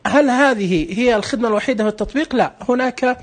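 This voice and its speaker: High-pitched quick-talking man explaining. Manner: plain, instructive, explains patiently with small restarts. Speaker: app demonstrator. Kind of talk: casual step-by-step tutorial.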